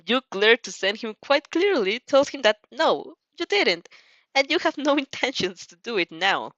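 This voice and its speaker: A woman's voice: fairly high pitch, very animated, normal speed, a bit bright, no room sound, dull audio. A woman narrating fan fiction expressively, giggly and tripping over words, with spontaneous reactions.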